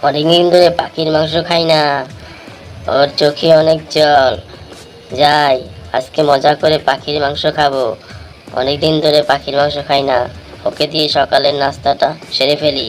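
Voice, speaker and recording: Woman doing a hungry sneaky jackal in a kids cartoon, gleeful and greedy, lowish voice, music under it. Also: level tone, moderate pace, natural voice. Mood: greedy delight. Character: hungry, scheming jackal eyeing a bird to eat. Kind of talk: Bengali children's cartoon dialogue. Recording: music bed behind the voice.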